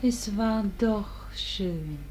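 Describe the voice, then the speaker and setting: An actress playing a gentle, hurt young sweetheart in a lyrical verse radio drama, tender, breathy and dreamy. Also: female voice, mid-range voice, extremely slow, soft background music, rough recording. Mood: wistful, tender.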